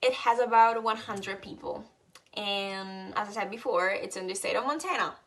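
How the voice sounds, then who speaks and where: An eighteen-year-old Italian woman with an Italian accent, explaining her exchange experience in a steady, casual telling. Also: medium pitch, normal speed. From Italy. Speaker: former exchange student. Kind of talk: casual vlog chat.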